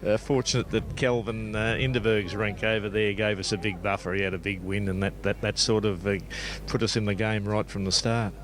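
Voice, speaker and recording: An Australian man in his sixties, a bowls player being interviewed outdoors, talking with many pauses and fillers. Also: on the low side, little pitch movement. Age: 60s. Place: outdoor bowling green.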